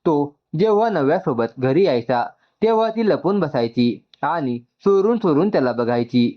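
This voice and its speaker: A man's voice: on the high side, expressive, normal speed. Male storyteller, slightly dull lo-fi recording. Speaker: story narrator. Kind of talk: scripted narration of an emotional story.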